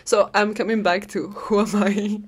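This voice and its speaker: A woman's voice: medium pitch, steady tone, moderate pace, slightly rough. A young woman in her twenties with a Peruvian Spanish accent, hosting a podcast in a chatty, rambling way, laughing often and swearing casually. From Peru. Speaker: podcast host. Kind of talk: casual solo podcast monologue.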